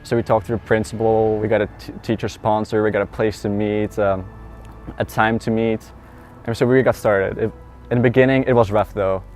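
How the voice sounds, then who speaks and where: Dutch-accented teenage boy, flat low voice. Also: moderate pace, soft background music. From Netherlands. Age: teens. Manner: earnest, conversational with fillers. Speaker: student. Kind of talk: personal testimony.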